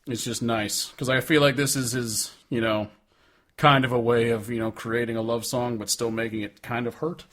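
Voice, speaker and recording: A man's voice: medium pitch, level tone, normal speed, fairly bright tone. Sweary, rambling man chatting about music. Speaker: co-host of a music podcast. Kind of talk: casual chat about music.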